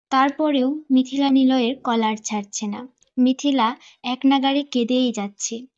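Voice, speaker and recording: A woman's voice: sits high, a little mellow, dull audio. A woman reading a scripted romantic story as a dramatic narrator.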